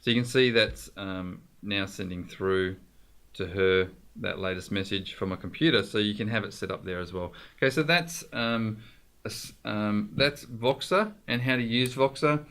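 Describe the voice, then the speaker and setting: A man with a fairly low voice walks through software as a tutorial presenter. His delivery is informal and explanatory, talking straight to the viewer as he goes. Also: moderate pace.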